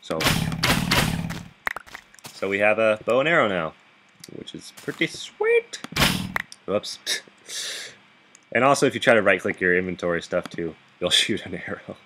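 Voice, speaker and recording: A man with a very deep voice giving rambling gameplay commentary from his bed into a laptop microphone, chuckling often and restarting his sentences. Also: huge pitch swings, measured pace, pauses often, heavy background noise. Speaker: gaming video host. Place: bedroom, sitting up in bed.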